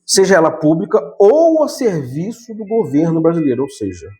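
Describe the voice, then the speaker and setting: A man's voice: on the high side, big pitch swings, normal speed, a little mellow. A Brazilian man explaining law in a conversational lecture, often adding tag questions and correcting himself as he goes. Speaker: law teacher.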